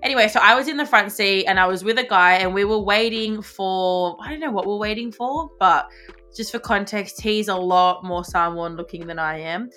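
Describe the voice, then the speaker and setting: A young woman with an Australian accent, co-hosting a podcast and telling a story in casual, animated chat full of fillers and swearing. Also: mid-range voice, normal speed, soft background music. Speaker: podcast co-host.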